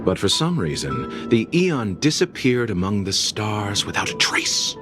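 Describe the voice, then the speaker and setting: Casting: genteel, evasive male merchant for a game, lowish voice, hugely animated intonation, theatrical formality. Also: measured pace. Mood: musing, philosophical. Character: courteous, secretive male merchant delivering a coffin. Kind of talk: scripted video game dialogue. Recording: clear background music under the voice. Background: game music.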